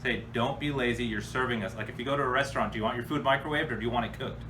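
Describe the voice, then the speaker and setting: A man's voice: mid-range voice, rapid-fire. A man from the United States hosting a casual, off-the-cuff question-and-answer chat in a blunt, slangy, informal way. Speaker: host answering viewer questions. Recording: distant mic with clear background noise.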